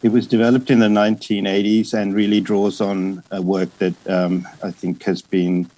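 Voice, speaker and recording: A man in his fifties with an Australian accent gives an academic lecture in a hesitant, halting way, often pausing and breaking off words. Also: fairly low pitch, level tone, mellow, poor audio. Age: 50s. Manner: hesitant, halting delivery with frequent pauses and broken-off words. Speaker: psychiatrist and addiction specialist giving a lecture.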